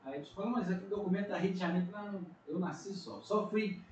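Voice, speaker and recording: A man's voice: high voice, quick pace. Man, Minas Gerais accent, middle-aged pastor, earnest testimony, faint background hiss, far from mic. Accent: Brazilian Portuguese, Minas Gerais. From Brazil. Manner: earnest, frequent tag questions. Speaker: pastor, podcast guest. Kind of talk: testimony in casual conversation. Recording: echoey room, distant mic, faint noise.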